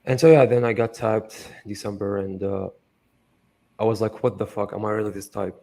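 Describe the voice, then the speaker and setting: A young man from Iraq speaks in a lowish voice, telling his story in a loose, rambling way. He fills his talk with fillers and curses casually. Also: steady tone, moderate pace, vocal fry, dark tone, a little hiss or hum. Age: young adult. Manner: rambling, lots of "like" fillers, swears freely. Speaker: interview guest. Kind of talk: casual conversational interview.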